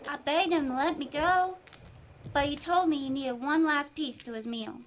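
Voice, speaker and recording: A woman's voice: high-pitched, a little hiss or hum, natural voice. A woman playing a haunted, blinded frontier woman. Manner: halting, haunted telling. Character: young blinded frontier woman, shaken survivor. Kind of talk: audio drama acting. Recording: dull lo-fi audio.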